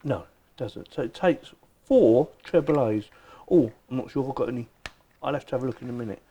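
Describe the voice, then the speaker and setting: Guy doing a product review, mellow relaxed voice, chatty, kinda thinking out loud while he shows stuff. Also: medium pitch, a little fast, slightly rough. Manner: chatty, informal, thinking aloud. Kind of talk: casual unboxing and demonstration chat.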